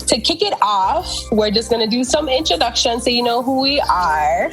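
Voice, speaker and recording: A woman's voice: fairly high pitch, expressive, moderate pace, fairly bright tone, faint music behind. A woman from Jamaica hosting a casual group chat, chatty and giggly, with lots of fillers. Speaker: podcast host and regional admin.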